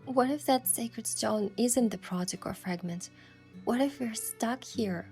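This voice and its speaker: A woman's voice: a little high, unhurried, a little mellow. A woman playing a thoughtful, affectionate young heroine, narrating a romance game story in the first person with a reflective manner. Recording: music behind the voice.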